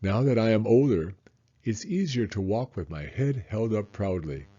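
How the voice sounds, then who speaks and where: A man narrates for children in a read-aloud style. His voice is dark and a little raspy, with animated intonation, on a slightly dull recording. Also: on the low side, moderate pace. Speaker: children's story reader.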